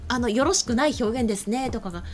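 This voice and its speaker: A woman's voice: on the high side, fast. A young woman co-hosting a studio talk show, chatting casually and attentively into a desk microphone. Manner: attentive, frequent short backchannels, chatty anecdotes. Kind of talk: casual chat. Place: small streaming studio.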